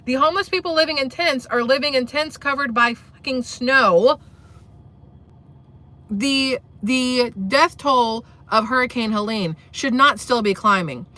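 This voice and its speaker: A woman's voice: high voice, a little slow, pushed and bright. Blunt, profane woman with a boyish voice. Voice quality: boyish-sounding voice. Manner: blunt, profane, sarcastic, rambling. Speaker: commentary channel host. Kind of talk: rambling political commentary vlog.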